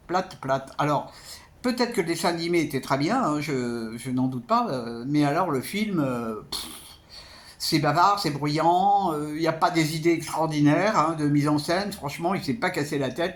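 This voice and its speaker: A man giving a casual spoken film review as a vlogger, hesitant and often repeating words. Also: a little high.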